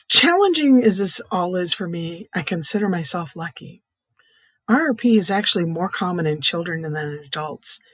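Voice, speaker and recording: A middle-aged or older woman with a soft, hoarse, breathy voice, giving an earnest personal testimony in plain, explanatory words. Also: on the low side, no echo, poor audio. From Iowa, United States. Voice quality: soft, hoarse, breathy voice from vocal cord growths. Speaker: patient advocate, child care nurse consultant.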